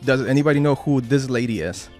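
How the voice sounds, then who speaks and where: A man with a Spanish accent speaks at an ordinary mid pitch, leading a team meeting over a video call. He talks in halting bursts, repeating words and tagging "right?" onto his points. Faint music plays underneath. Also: darkish tone. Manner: halting, many restarts and "right?" tags. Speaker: team lead giving a motivational talk. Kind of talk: informal motivational talk at a work meeting.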